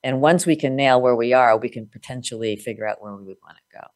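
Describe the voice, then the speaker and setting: A woman's voice: rumbling low, quick pace, no echo, clean studio sound. A woman hosting an interview, giving reflective coaching advice with frequent "like" and check-in questions. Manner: reflective, uses filler "like" and asks check-in questions. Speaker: host.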